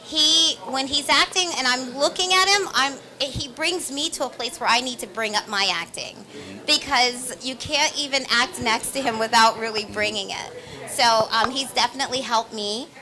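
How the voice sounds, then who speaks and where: Actress lady chatting in an interview, bright clear-cut voice, bit high, earnest and emphatic. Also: moderate pace, rough recording. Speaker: stage actress being interviewed. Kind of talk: conversational interview answers.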